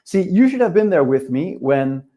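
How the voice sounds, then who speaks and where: A man's voice: a little high, sing-song, normal speed, mellow. Danish-accented man coaching a live webinar. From Denmark. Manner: upbeat, direct, addresses viewers and chat.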